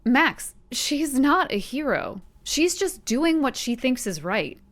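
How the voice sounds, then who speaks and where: A fairly high, very animated young female voice from an actress as a skeptical, outspoken friend in a superhero audio drama. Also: slow, exaggerated voice. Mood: insistent.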